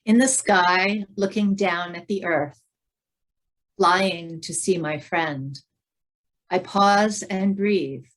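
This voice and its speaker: A woman recites a poem in a somewhat low voice. Her pace is extremely slow and broken into short phrases. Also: rough recording. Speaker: reader of another poet's work.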